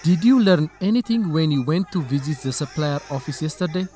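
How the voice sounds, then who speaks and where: A man's voice: fairly high pitch, lively intonation, a little fast, mellow, natural voice. A man playing an earnest assistant to a reporter in a radio drama. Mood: earnest, thoughtful. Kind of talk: English-teaching radio drama dialogue.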